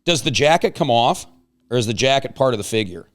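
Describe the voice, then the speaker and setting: A man's voice: medium pitch, lively intonation, brisk, fairly bright tone. A man hosting a casual talk show, chatting with guests in a playful, joking way. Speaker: show host.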